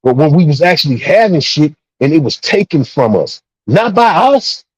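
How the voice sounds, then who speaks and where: A man talking casually and profanely in a discussion, emphatic, repeating himself and halting as he builds his point. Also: fairly high pitch, big pitch swings, a little mellow, husky. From Texas, United States. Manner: emphatic, repetitive, halting phrasing. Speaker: discussion participant. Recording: dull, roomy, muffled lo-fi audio.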